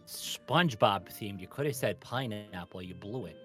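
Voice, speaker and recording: A man's voice: lowish voice, animated, normal speed, faint music behind. A man bantering casually as a game participant, with a playful, teasing manner.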